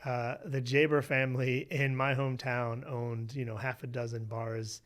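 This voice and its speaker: A man from Western Pennsylvania, a researcher being interviewed, telling his family story in a relaxed way with many "you know"s. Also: medium pitch, normal speed. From Western Pennsylvania, USA. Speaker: guest, researcher. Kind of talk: conversational interview.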